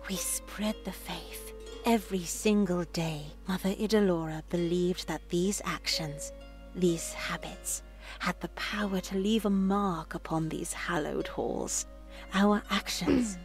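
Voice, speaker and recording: A woman acts out an older convent sister in a visual novel, pious and comforting. The voice is fairly low and very unhurried, with hushed, breathy, solemn phrasing. Music plays underneath. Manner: measured, hushed, breathy delivery. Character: gentle, pious older nun, solemn and reassuring. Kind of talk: visual novel dialogue read aloud.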